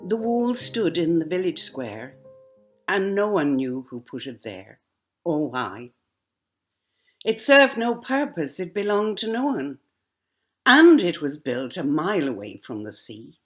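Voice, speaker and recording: Very slow, solemn woman reciting poetry. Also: low-pitched, sing-song, faint music behind. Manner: solemn, deliberate, dramatic pauses. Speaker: actor reading poetry.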